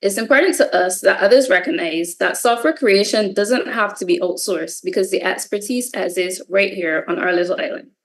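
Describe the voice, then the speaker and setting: A woman with a Barbadian accent speaks as a guest presenter. Her voice sits at a middle pitch. She delivers prepared material in a formal, articulate way. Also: normal speed, hardly any pauses. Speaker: guest speaker, cybersecurity lead. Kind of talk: scripted presentation. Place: online video session.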